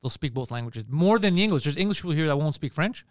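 Brief: comedian guest, Montreal Greek accent, rapid animated chat, dry close sound. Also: male voice, fairly high pitch, dry recording. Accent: Montreal Greek (Park Extension). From Montreal, Canada. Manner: animated, swears freely, quick restarts. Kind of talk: casual podcast chat.

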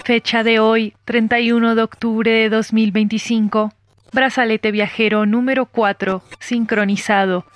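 A woman voicing the calm, formal system of a time-travel device, reading announcements in a measured, impersonal way. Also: a little high, dry recording, faint music behind, close to an everyday voice. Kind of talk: scripted announcements.

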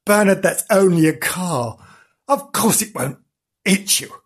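A bossy, sensible storybook dog, voiced by an adult reader, scolding in a matter-of-fact, exasperated way. The delivery is unhurried and slightly husky. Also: mid-range voice, lively intonation. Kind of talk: children's storybook character voice.

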